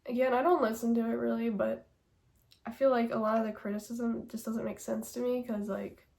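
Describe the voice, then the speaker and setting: A woman's voice: fairly high pitch, flat delivery, a little fast, creaky, a little mellow. A young woman with a stuffy nose from a cold, chatting casually and rambling through an album ranking with lots of fillers. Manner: rambling, many fillers and hedges. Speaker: music vlogger.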